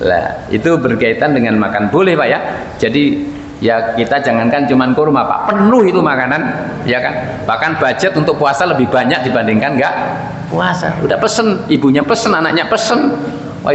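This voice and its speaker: A man preaching informally to a congregation in a conversational, joking way, putting questions to his listeners. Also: fairly high pitch. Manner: recites short Arabic quotations. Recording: muffled, noisy, echoey hall sound.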